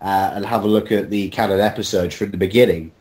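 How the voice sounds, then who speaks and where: Casting: man with a lowish voice, casual interviewee, hesitant and self-deprecating. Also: steady tone, quick pace, a little mellow. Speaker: cast member in a group interview. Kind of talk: casual chat.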